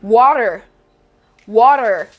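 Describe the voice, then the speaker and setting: A woman's voice: on the high side, expressive, very slow, lo-fi sound. A young Nigerian woman with a Nigerian accent, giving chatty, direct hair care advice to her viewers in a casual vlog. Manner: chatty, direct, addresses viewers as sis and guys.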